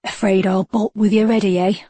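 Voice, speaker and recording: A woman's voice: mid-range voice, flat delivery, moderate pace, warm tone, no echo, close to an everyday voice. A woman voicing Billy the Bolter, a shifty male Victorian street informant with a slangy voice, in an audiobook. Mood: joking. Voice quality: rough slangy street talk. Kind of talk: audiobook character voice.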